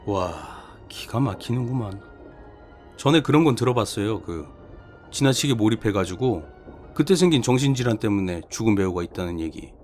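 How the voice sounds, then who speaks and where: A man's voice: fairly low pitch, expressive, normal speed, a little mellow, close to an everyday voice. Guy doing a young rookie detective, polite, hesitant, incredulous, horror story with music under it. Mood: appalled, musing. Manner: polite, hesitant, reacting in short bursts. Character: young male junior detective, deferential, incredulous listener. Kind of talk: dramatized horror short story reading.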